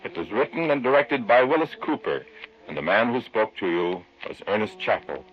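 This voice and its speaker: A man announcing in a formal, measured old-time radio style. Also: lowish voice, lively intonation, a little hiss or hum. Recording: dull, dry old radio recording.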